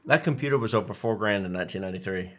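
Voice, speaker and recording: A man in his forties co-hosting a tech live stream, telling stories casually in an animated, emphatic, enthusiastic way. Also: lowish voice, rapid-fire. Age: 40s. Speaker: tech show co-host.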